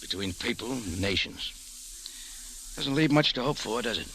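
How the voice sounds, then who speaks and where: An actor playing a weary, hard-boiled American private investigator in an old radio drama. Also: male voice, medium pitch, lively intonation, moderate pace, pushed and bright, a little hiss or hum, low-quality recording. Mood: weary, wry. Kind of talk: 1940s-50s radio detective acting. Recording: old radio recording with music.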